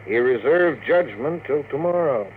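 An actor playing a measured, candid lawyer advising a client in a classic radio drama. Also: male voice, mid-range voice, lively intonation, narrow, tinny audio, lightly acted. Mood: grave. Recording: thin old radio recording, faint noise.

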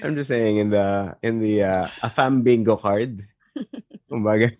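A Filipino man co-hosting a casual chat in English and Tagalog, telling stories and acting out bits of dialogue, with halting restarts. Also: fairly low pitch, darkish tone, dry recording. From Philippines. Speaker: podcast co-host.

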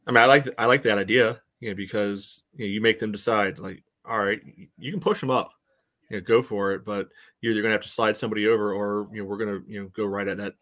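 A man with a fairly low voice speaks as a podcast co-host. He analyses sport casually, in a halting, loosely phrased way with frequent verbal padding. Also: steady tone, a bit bright. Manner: halting, peppers speech with "you know". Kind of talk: casual soccer analysis chat.

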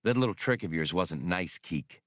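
A cocky star pilot in a space adventure audiobook, acted by a male reader. He talks with dry, casual bite and lively swings of pitch, needling whoever he faces. The sound is close and dry. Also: normal speed, no echo. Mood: threatening.

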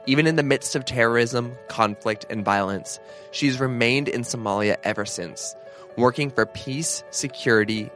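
A man hosting a podcast, reading an earnest introduction and then asking questions in a casual interview. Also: on the low side. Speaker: podcast host.

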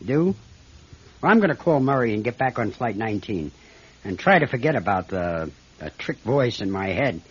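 An actor playing a troubled but resolute airline captain in a classic radio drama, American, with a clipped, plain-spoken delivery. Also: male voice, on the low side, big pitch swings, moderate pace, husky. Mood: guarded. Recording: dull lo-fi old radio recording.

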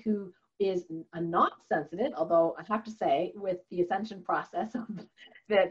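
A woman's voice: mid-range voice, a bit bright. Woman, chinese first language, chatty guest, laughs a lot, kinda muffled audio. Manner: earnest and chatty, laughs often, many fillers. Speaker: guest, holistic doctor and author. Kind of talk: conversational interview answer. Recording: slightly dull, lo-fi audio.

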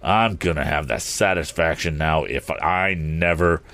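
A man's voice: rumbling low, unhurried, fairly bright tone, heavily acted. A man voicing a sneering, vindictive villain in a storybook, a hot-tempered and menacing bully. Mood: angry. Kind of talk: audiobook character acting.

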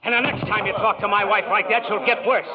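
An actor playing an outraged, blustering husband in a 1940s radio drama. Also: male voice, high-pitched, steady tone, quick pace, close to an everyday voice. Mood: furious. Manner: blustering, aggressive. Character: belligerent, outraged husband in a barroom. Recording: lo-fi old radio, crowd noise.

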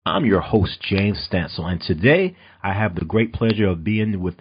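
A man's voice: low voice, expressive, normal speed, slightly rough, highs cut off. A man from North Carolina hosting an interview podcast, eager and chatty, often restarting his sentences. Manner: enthusiastic, with frequent restarts and fillers. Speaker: podcast host. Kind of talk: interview podcast, introducing a guest.

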